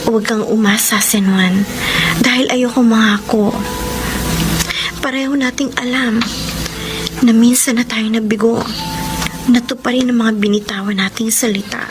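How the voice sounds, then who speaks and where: A woman's voice: a little high, fairly even intonation, normal speed, long pauses, music in the background, lightly acted, rough recording. A woman acting a torn young lover in a Filipino radio romance drama, with emotive delivery. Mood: torn, sorrowful. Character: torn young woman still loving her old boyfriend.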